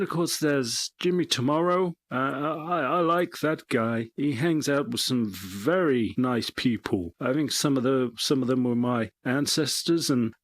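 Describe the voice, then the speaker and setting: A man playing an odd radio fan who gives a halting, repetitive testimonial in a comedy radio sketch. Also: mid-range voice, no room sound. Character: slow, odd male radio fan, keen on cloning.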